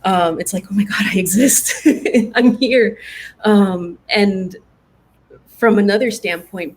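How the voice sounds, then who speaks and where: A woman's voice: mid-range voice, slight background noise. A woman from Puerto Rico moderating a panel discussion in a conversational style. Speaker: panel moderator, game UX designer.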